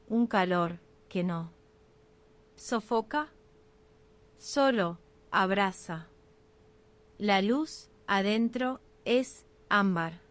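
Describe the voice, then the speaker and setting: A woman reads a guided meditation for sleep at a very slow pace. Her pitch sits in an average female range. She speaks in short phrases and leaves unhurried pauses between them, in the style of scripted ASMR narration.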